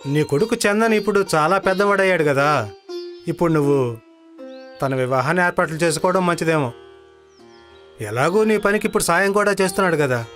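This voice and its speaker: A man's voice: a little high, animated, brisk, long pauses, a bit bright, faint music behind. A man playing an opinionated, old-fashioned village headman who gives preachy advice in a moral story. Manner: advising, preachy. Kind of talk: dramatized moral story voice acting.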